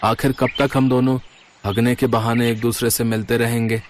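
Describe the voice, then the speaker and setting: A man's voice: medium pitch, level tone, moderate pace, slight background noise, natural voice. A man voicing an impatient, cheeky young beggar eager to be a groom in a cartoon.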